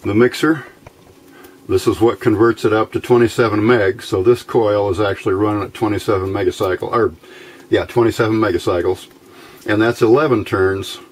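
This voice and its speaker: A middle-aged man giving an informal, unhurried, step-by-step electronics demonstration as a hobby radio builder. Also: fairly low pitch, steady tone. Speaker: amateur radio builder presenting a project. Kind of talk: casual hands-on electronics demonstration. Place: indoor workbench.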